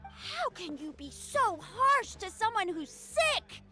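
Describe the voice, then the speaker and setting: A woman's voice: very high-pitched, big pitch swings, takes it slowly, over music, full character voice. A woman voicing a sensitive young village girl who is loyal, idealistic and indignant, in a video game dub. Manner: indignant, pleading, childlike.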